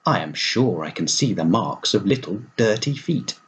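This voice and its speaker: A man's voice: fairly low pitch, lively intonation, normal speed, natural voice, low-quality recording. A man voicing a fussy, tidy little female wood mouse with a prim, scolding manner in a storybook. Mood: suspicious.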